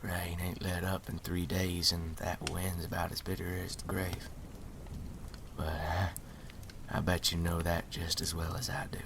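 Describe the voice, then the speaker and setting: A man playing a folksy, hospitable wandering story collector in an ASMR roleplay, drawling in a breathy half-whisper. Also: deep voice, flat delivery, a little slow, noisy background. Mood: welcoming, soft.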